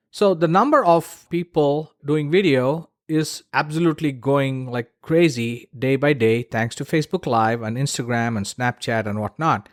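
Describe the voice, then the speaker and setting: A man's voice: mid-range voice, dry recording, studio quality. A man hosting a solo podcast, explaining things conversationally with casual, emphatic asides to the listener. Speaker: podcast host.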